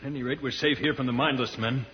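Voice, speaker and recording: Earnest radio space hero played by an actor, reverberant. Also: male voice, mid-range voice, steady tone, fast talker, crowd noise, close to an everyday voice. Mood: calm reasoning. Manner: earnest, reasoning aloud. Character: heroic male space adventurer, resolute, level-headed protector. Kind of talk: old-time radio serial acting. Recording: muffled, hissy vintage radio, cavernous reverb.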